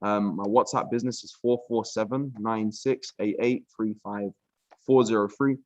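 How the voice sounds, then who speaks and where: A young British man breaking down trading charts on a casual live stream, relaxed and chatty, full of "um", "bro" and "like". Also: fairly low pitch, moderate pace, dry recording, muffled recording. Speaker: trading mentor. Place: villa room.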